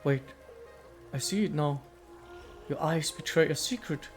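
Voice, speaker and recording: Male reader as ancient statue goddess: serene, aloof, formal and poetic game dialogue, raised pitch, music underneath. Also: measured pace, close to an everyday voice. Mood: gracious, wistful. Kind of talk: dramatic reading of video game dialogue.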